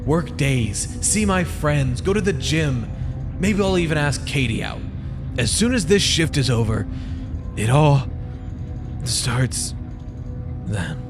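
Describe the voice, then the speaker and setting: A man's voice: fairly high pitch, expressive, slow pace, long pauses. Man acting a lonely, sickly young man, reverberant. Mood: resolute. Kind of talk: dramatic comic dub acting. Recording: long reverb, music behind.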